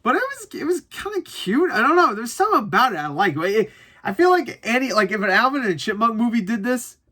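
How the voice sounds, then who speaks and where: A man's voice: very high voice, very animated, fast, sharp tone. A man giving a casual, unscripted review to viewers, rambling and correcting himself as he goes, with some swearing. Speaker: movie reviewer.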